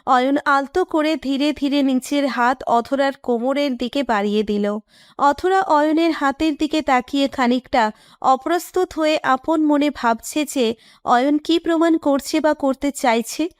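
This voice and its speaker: A woman narrates a scripted romantic story in a high-pitched voice. The sound is dry and close, with a storyteller's delivery. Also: a little slow, no room sound.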